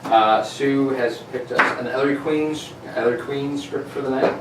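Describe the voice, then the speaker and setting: A man's voice: mid-range voice, normal speed. A man hosting a show, making casual, joking introductions. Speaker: show host. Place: echoey room with several people. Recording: roomy, echoey, noisy, distant mic.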